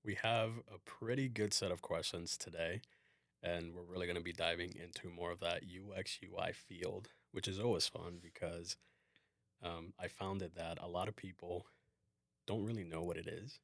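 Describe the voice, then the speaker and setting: A man hosting a casual work podcast as a design manager, explaining things in a relaxed way with frequent fillers. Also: low voice, normal speed, creaky, no echo, clean studio sound.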